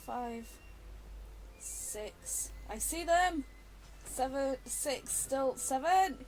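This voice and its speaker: Female gamer, high voice, self-directed casual commentary, playful, unhurried delivery. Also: expressive, a little mellow, low-quality recording. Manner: talks to herself, playful. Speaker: game streamer. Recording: faint background hiss.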